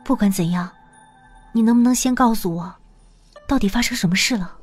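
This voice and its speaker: A woman's voice: medium pitch, a little fast, soft background music, close to an everyday voice. A woman voicing a determined, caring young heroine in an audio drama read by one narrator. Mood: concerned.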